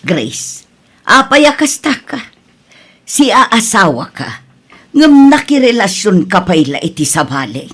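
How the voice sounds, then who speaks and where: Lady playing sad older mom n grandma, raspy voice, pleading, ilocano filipino accent, radio drama acting. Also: medium pitch, big pitch swings, slow pace, fairly bright tone, full character voice. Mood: reproachful, pleading.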